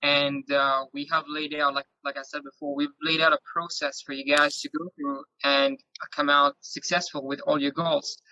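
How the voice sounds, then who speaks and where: Bright, flat-toned man on muffled call audio. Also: on the high side, moderate pace, few pauses, no room sound. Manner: upbeat, encouraging, with "uh" fillers. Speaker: co-host of a fitness group call. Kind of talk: wrap-up to a workshop group.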